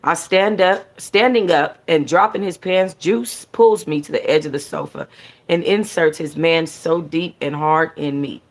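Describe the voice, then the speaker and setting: A woman reading a novel aloud in an expressive, conversational, sassy way with casual slangy asides, as a book club host. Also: deep voice, measured pace. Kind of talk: expressive first-person audiobook-style reading with casual asides.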